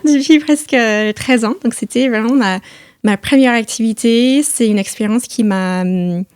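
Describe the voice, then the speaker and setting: A middle-aged woman from the United States, speaking with an American accent in a casual podcast conversation, often pausing and repeating words as she goes. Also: on the high side, darkish tone, clear, resonant tone, no room sound, clean studio sound. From United States (Missouri). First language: English. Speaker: podcast host.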